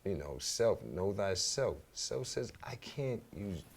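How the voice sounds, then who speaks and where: Very deep, gravelly New York man. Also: animated, slow, slight background noise. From New York City. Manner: rambling, slangy, peppered with "you know" and "man". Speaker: DJ and music teacher, radio show guest. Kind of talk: casual interview chat.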